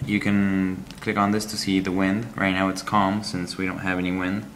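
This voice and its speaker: Low-voiced man demoing a game. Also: level tone, a little hiss or hum. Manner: halting, explanatory, frequent ums. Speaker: app reviewer. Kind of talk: game review walkthrough.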